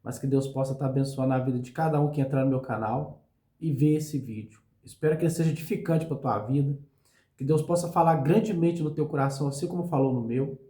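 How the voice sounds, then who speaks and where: A male pastor preaching a sermon for his online channel, hoarse from a cold, in an earnest and unhurried way. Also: mid-range voice, mellow, reverberant. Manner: earnest, slow preaching with frequent "meus irmãos".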